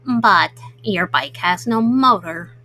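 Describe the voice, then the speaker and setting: A woman's voice: medium pitch, slow pace, bright, forceful tone, pitched below the performer's own voice, rough recording. A woman voicing a confident, competitive male turtle in a children's storybook, boasting as he talks. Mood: boastful.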